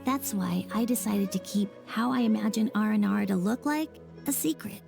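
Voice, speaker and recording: A woman's voice: medium pitch, moderate pace, vocal fry, music in the background. A woman voicing a sincere, thoughtful young boy in a video game, boyish and plain-spoken in an earnest way. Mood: wistful. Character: sincere, thoughtful young boy who loves his dad. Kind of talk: video game character acting.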